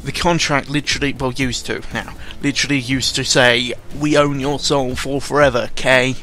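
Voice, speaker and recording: A young man giving casual, unscripted commentary in a rambling, halting way, often interrupting himself, with a sarcastic, self-deprecating and exasperated manner. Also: medium pitch, steady tone, pushed and bright. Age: young adult. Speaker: YouTuber recording an audio log. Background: background music.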